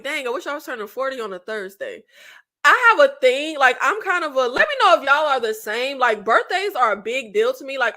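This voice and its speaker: A woman in her late thirties hosts her own channel and talks straight to her viewers in a loose, chatty way. Her voice sits high and sounds bright and sharp. She runs on in slangy asides and laughs often. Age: 30s. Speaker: channel host. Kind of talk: casual chat to viewers.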